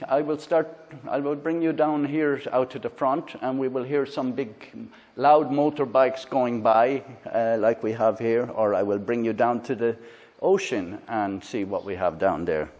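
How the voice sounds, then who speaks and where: An Irish man with a mid-pitched voice, giving a casual hands-on gadget review. He speaks haltingly, with frequent filler sounds and small restarts. His voice is picked up close on a clip-on lavalier mic. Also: a little mellow. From Ireland. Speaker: tech reviewer, channel host. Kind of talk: casual product review and demo. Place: indoors in a room with a fan, then outdoors by a busy road.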